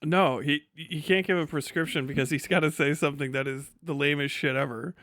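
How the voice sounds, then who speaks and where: High-voiced man ranting bluntly on a livestream. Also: steady tone, fairly quick, a bit bright, dry recording, studio quality. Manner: profane, blunt, sardonic ranting. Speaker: livestream host. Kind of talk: casual livestream commentary.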